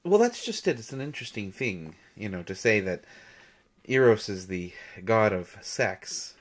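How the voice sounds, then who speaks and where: A man playing a shy, gentle substitute teacher, halting and nervous, in an intimate audio roleplay lecture. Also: lowish voice, very animated. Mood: thoughtful, playful. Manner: hesitant, nervous, halting with soft chuckles. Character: shy, gentle male Greek god posing as substitute teacher.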